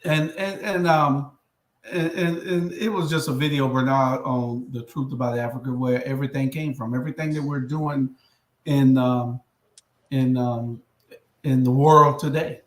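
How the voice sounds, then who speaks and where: A man in his sixties hosting a casual live-stream panel chat, talking haltingly with many fillers and restarts. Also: mid-range voice, steady tone, reverberant. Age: 60s.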